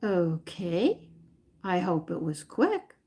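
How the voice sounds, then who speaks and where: Gravelly-voiced woman thinking aloud. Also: fairly low pitch, big pitch swings, takes it slowly, warm tone. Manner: rambling, thinks aloud while searching her memory. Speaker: home cooking channel host. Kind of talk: casual live-stream chat answering viewers.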